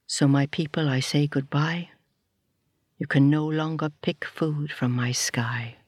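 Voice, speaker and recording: A woman voicing the sky as a spirit, speaking slowly, low and grave. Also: steady tone, darkish tone, no echo, clean studio sound. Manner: slow, grave, low delivery. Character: sorrowful, angry sky spirit, solemn.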